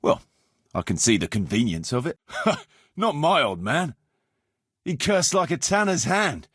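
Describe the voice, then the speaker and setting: A man's voice: a little high, wildly swooping pitch, a little slow, a bit bright, slightly rough, dry recording, studio quality, heavily acted. A man playing a roguish, world-weary medieval mercenary captain in a video game, firm but fond, speaking bluntly and colloquially. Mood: matter-of-fact. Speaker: game character, band leader. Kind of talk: video game dialogue acting.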